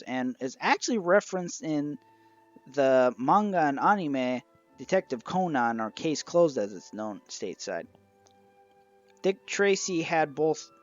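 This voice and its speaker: A man giving an informal review monologue, with somewhat halting phrasing and occasional stumbles. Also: mid-range voice. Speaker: amateur reviewer of old radio shows. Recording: dull lo-fi recording, music behind. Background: music.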